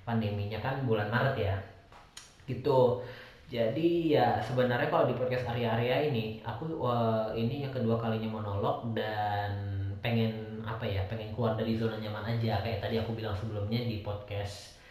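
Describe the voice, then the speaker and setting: Young male podcaster, softened Medan accent, lowish voice, rambling solo chat, very echoey room. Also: fairly even intonation, normal speed. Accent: Medan Indonesian, softened. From Medan, North Sumatra. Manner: rambling, many fillers and restarts. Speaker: podcast host. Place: indoors at home, late night.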